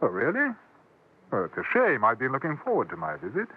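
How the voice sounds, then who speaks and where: Wry English gentleman, lively pitch, tinny radio. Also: a little fast, slightly rough. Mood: polite, unruffled. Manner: courteous, dryly understated. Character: polite, wry English newspaper correspondent visiting the frontier. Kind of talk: 1950s radio western acting. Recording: tinny vintage radio recording.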